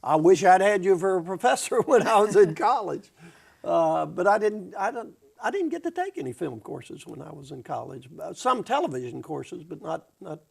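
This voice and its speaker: A man with a strongly gravelly, rough voice hosts a college television talk show. His pitch sits a little high for a man, and he often stops and restarts his sentences as he introduces his guests. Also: very animated, normal speed. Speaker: host. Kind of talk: campus TV talk show interview.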